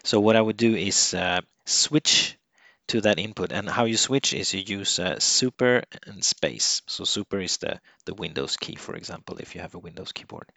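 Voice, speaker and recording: A man from Sweden, a native Swedish speaker, talking through a software setup step by step, halting often with fillers and restarts. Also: deep voice, vocal fry, no room sound. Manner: explanatory, halting with frequent "uh" and restarts. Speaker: software tutorial presenter. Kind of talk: unscripted screen-recording walkthrough.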